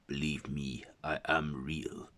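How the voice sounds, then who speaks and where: A man acts out an ancient Egyptian mummy king, proud and menacing. The voice is deep and unhurried, with a pompous, commanding air suited to a monster tale. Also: fairly even intonation, sharp tone, heavily acted. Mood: proud menace. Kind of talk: dramatic storytelling character voice.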